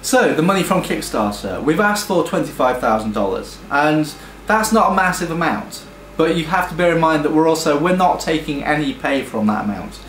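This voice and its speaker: A British man pitching his game studio's project, talking enthusiastically with frequent ums and restarts. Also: lively intonation, moderate pace, a bit bright, slight background noise. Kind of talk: earnest crowdfunding pitch. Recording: echoey room with faint music.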